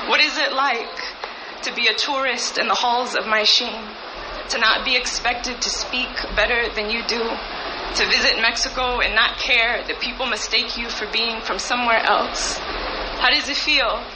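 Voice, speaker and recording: Young woman reciting cutting spoken-word poetry. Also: a little high. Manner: intense, measured, cutting delivery. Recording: played clip with steady background noise.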